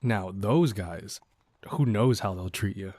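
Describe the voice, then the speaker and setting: A cocky, easygoing bandit leader, played by a man for an audio roleplay. His voice sits fairly low and is recorded dry, with no room sound. He teases with mock courtesy in a casual, folksy way, a rogue who is considerate underneath. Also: slow pace, a little mellow, dry recording. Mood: wry, conspiratorial. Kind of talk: audio roleplay acting addressed to the listener.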